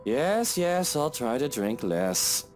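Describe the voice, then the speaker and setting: An actor playing a sardonic, self-pitying husband who drinks, drawling with mock affection in a visual novel. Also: male voice, mid-range voice, big pitch swings, slow, faint music behind. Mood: placated, languid.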